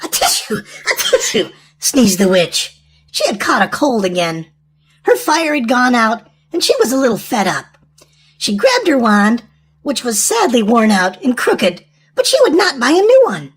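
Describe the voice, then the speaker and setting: A woman reading a storybook aloud to young children in an animated, sing-song way. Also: fairly high pitch, measured pace. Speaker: children's storytime host and reader.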